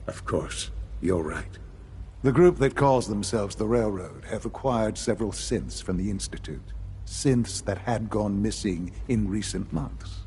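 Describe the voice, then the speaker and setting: An actor playing a calm, calculating older institute leader in a video game, speaking formally and courteously. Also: male voice, lowish voice, measured pace, a little hiss or hum. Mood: measured.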